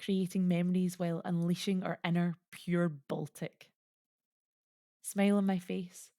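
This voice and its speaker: Audio play: actress as lyrical, reminiscing immigrant mother, lowish pitch, dry close sound. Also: level tone, unhurried, dark tone, dry recording. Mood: fond, wistful. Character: reflective Estonian immigrant mother fond of winter.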